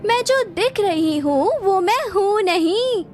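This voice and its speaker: Woman as a very high-pitched talking girl monkey. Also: lively intonation, slow, a little mellow, full character voice. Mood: assertive. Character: young talking female monkey, enchanted merchant's daughter. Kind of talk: Hindi moral-story cartoon acting.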